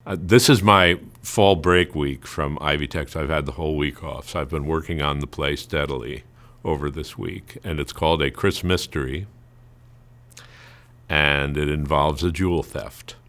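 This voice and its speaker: A man with a notably low voice talks casually in an interview. He tells his story as a string of anecdotes, voicing bits of remembered dialogue along the way. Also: fairly even intonation, moderate pace, a bit bright. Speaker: amateur playwright being interviewed.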